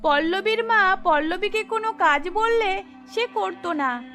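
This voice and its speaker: A woman narrator with a high voice tells a scripted cartoon story. Light music plays behind her. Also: expressive, measured pace, bright, forceful tone.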